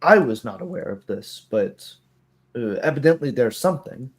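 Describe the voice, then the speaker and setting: A man narrating a tabletop roleplay as game master, in a halting, measured way. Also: mid-range voice, expressive.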